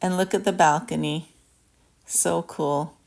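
Woman, travel vlogger, lowish pitch, gravelly fry, casual voice-over review. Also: steady tone, a little slow, darkish tone.

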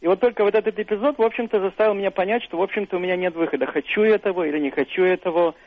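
A man's voice: high voice, very fast. Older man, thin radio-band voice. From Russia. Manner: thoughtful, hesitant, many fillers and restarts. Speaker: author and university professor, studio guest. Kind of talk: radio interview answers. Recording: narrow phone-like radio sound, dry.

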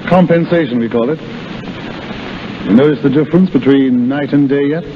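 A man acting as a kindly senior doctor, measured and reassuring, with quiet authority. He is heard on a muffled 1930s radio broadcast with clear background noise. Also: medium pitch, natural voice.

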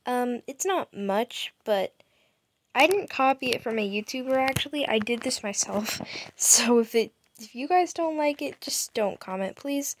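A woman's voice: fairly high pitch, animated, unhurried, a little hiss or hum. A woman giving a chatty, rambling tour of her game house, narrating over her screen as a gaming YouTuber. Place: home setup.

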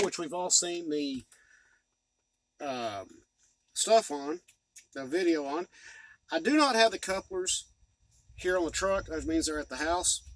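A man's voice: fairly high pitch, no room sound, low-quality recording. A man in his forties from the United States talking in a rambling, folksy way, giving a casual hobby vlog update from inside a truck cab. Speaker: model train hobbyist and video host. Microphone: phone or camera at arm's length.

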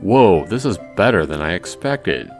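A man's voice: low voice, very animated, unhurried, faint music behind, full character voice. A man voicing a wry, exasperated teenage schoolboy, the hero of a visual novel. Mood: musing.

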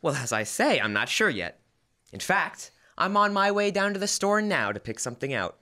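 Fussy, earnest husband played by a young male actor, classic radio comedy, hugely animated pitch, forward bright tone, hesitant timing. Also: moderate pace. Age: teens to young adult. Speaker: student actor. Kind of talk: old-time radio comedy acting. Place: school library, indoors, live stage reading. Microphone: stand microphone.

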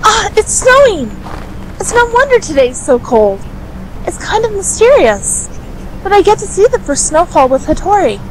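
A woman playing a sweet, excitable, easily flustered teenage girl in a radio drama. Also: extremely high pitch, moderate pace, slight background noise, rough recording. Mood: cheerful, polite. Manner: excitable, polite.

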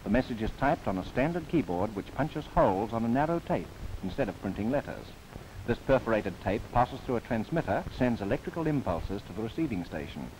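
Lively 1930s newsreel narrator, man. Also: lowish voice, fairly quick, low-quality recording. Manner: lively, playful asides. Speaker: documentary narrator. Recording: old 1939 film soundtrack with faint hiss.

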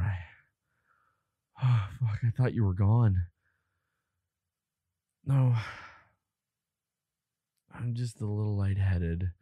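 Breathy, slow-talking devoted boyfriend played by a man. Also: on the low side, no room sound, exaggerated voice. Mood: shaken, reassuring. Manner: halting, hushed, earnest reassurance. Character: devoted, protective young boyfriend, tender and earnest. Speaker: audio roleplay voice actor. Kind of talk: intimate boyfriend roleplay audio drama.

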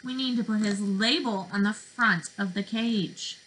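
A woman in her twenties, a speech therapist, reading to preschoolers in an animated, questioning way, recorded on a webcam in a bedroom. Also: medium pitch, unhurried, a little hiss or hum, poor audio. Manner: animated, questioning delivery for young children. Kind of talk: interactive children's read-aloud lesson. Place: small bedroom indoors.

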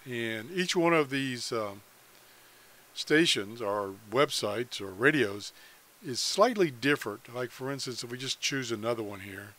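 A man's voice: medium pitch, lively intonation, a little slow, fairly bright tone. An American man giving a casual screen-share tutorial as a hobbyist, unscripted and thinking aloud as he goes. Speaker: amateur radio hobbyist demonstrating a website.

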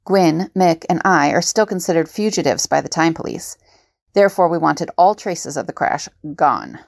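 A woman as a creaky-voiced fugitive adventurer. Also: sits low, animated, normal speed, dry recording, studio quality, exaggerated voice. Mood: matter-of-fact. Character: matter-of-fact woman fugitive recounting an adventure. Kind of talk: audio drama narration.

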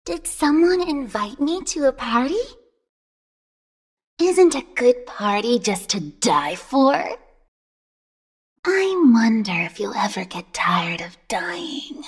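A woman playing a flirty, morbid female party animatronic with a breathy, teasing manner. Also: a little high, very animated, takes it slowly, pauses often, full character voice. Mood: teasing menace.

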